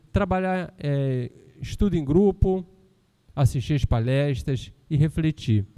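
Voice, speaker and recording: A man's voice: fairly high pitch, warm tone. A man from Rio de giving a conversational spiritist lecture and often asking the audience rhetorical questions. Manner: conversational, asks rhetorical questions with tag "não é?". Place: lecture hall of a spiritist center. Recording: long hall reverb.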